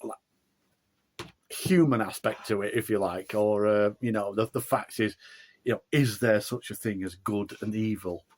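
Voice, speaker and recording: A middle-aged Yorkshire man with a lowish voice, talking about his writing in a relaxed, casual way. He wanders through his thoughts, full of ums, restarts and asides. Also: normal speed. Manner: rambling, hesitant, many fillers and restarts. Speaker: author being interviewed. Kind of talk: casual interview chat.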